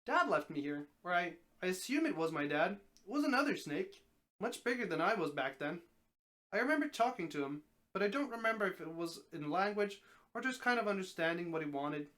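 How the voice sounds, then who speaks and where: A man's voice: high voice, big pitch swings, fairly quick, a bit bright. A man voicing an old, easygoing talking snake in a dramatic story reading, folksy and matter-of-fact. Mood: matter-of-fact, reminiscing.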